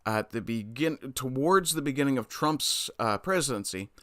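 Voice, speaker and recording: A man's voice: medium pitch, expressive, moderate pace, sharp tone, no room sound, studio quality. A man hosting a casual livestream, bluntly commenting on news and reading chat, often swearing as he rants. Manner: blunt, profane, ranting.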